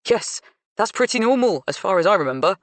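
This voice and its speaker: A woman's voice: medium pitch, sing-song, fairly quick, no echo, pitched above the performer's own voice, lightly acted. A woman voicing a conciliatory young man caught between family members. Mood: pleading. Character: placating young man, harried son and partner.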